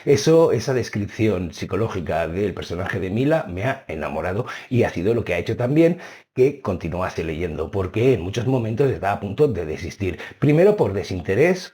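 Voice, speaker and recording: Low-voiced man chatting about books. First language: Spanish. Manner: animated, stresses key words with drawn-out phrases. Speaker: book reviewer. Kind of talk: casual book review.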